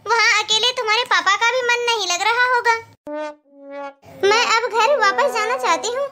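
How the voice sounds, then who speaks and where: A woman acts out a selfish cartoon mother of a grown son. Her voice is extremely high and bright, and she complains melodramatically. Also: moderate pace, faint music behind. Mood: coaxing. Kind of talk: cartoon moral story acting.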